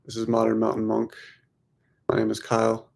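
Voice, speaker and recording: A man leading a guided meditation in a soothing, unhurried manner, speaking in short phrases with long pauses. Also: fairly low pitch, completely flat delivery, gravelly, darkish tone. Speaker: meditation guide, host of a weekly group.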